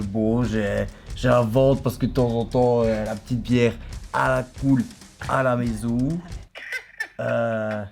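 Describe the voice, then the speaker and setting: A man's voice: mid-range voice, darkish tone. A man voicing a sleazy, smug middle-aged photographer on a prank phone call, with a hesitant, suggestive drawl.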